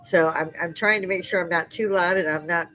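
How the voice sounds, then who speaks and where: An older woman hosting a casual live stream. She has a loud speaking voice and talks in a loose, chatty way, laughing often. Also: lowish voice, fairly even intonation, brisk, a little hiss or hum. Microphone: desk microphone.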